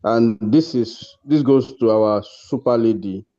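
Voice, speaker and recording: A man's voice: mid-range voice, measured pace, dark tone. A man with a Nigerian accent hosting an informal awards ceremony over a video call, speaking in an encouraging, congratulatory way. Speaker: host presenting course awards. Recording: dull lo-fi online call audio.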